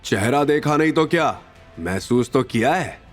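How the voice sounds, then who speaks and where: A man's voice: medium pitch, animated, a little slow, soft background music, pitched above the performer's own voice, natural voice. A man voicing a boastful reformed underworld hitman in an audio drama, speaking in a dramatic, awed storytelling manner. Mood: proud.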